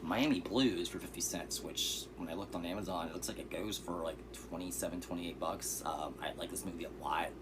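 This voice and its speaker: Man, very deep voice, hoarse, casual rambling vlog talk, noticeable background noise. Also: fairly quick, few pauses. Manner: relaxed, rambling, with fillers and asides. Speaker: vlogger showing thrift finds.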